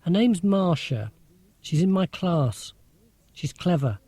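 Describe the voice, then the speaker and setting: A man's voice: sits high, very animated, slow, dark tone, husky, no room sound, exaggerated voice. A man voicing a cheeky, whiny, awkward schoolboy in a children's audiobook. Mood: grumpy.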